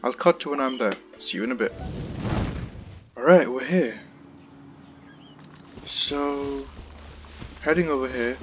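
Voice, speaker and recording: A man's voice: mid-range voice, slow pace, long pauses. A man giving casual let's-play commentary as he plays through a game. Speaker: gamer commentating a playthrough. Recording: game music behind the voice.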